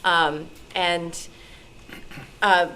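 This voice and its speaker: An American woman telling stories informally to an audience, animated and acting out bits of dialogue. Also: lowish voice, very slow, smooth. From New York City, United States. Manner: animated, acts out quoted dialogue. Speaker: guest speaker, radio recreation performer. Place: indoor club meeting room. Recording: noisy room recording of club meeting.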